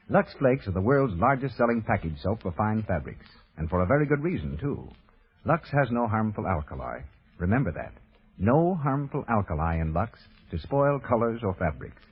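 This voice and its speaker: A man's voice: on the low side, slightly rough. A man announcing a scripted commercial in 1930s radio style. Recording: muffled old radio recording.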